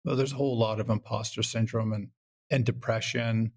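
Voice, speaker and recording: A man's voice: lowish voice, fairly even intonation, a little fast, darkish tone, breathy edge. A man talking casually as an interview guest, wry and rambling, often acting out little quotes. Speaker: podcast guest, comedy show creator.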